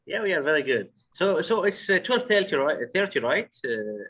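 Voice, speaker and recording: Halting man with a husky voice. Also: on the high side, sing-song, a bit bright. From Bahrain. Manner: halting, repeats words, many uhs. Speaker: host of online workshop. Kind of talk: casual video-call chat. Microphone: video call.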